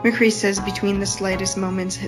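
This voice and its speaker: A woman with a medium-pitched voice reads aloud as a podcast host. Her delivery is informal, mixing narration with offhand commentary. Music is clearly audible behind her. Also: flat delivery, normal speed. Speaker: podcast host reading fan fiction. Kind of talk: casual reading aloud with banter.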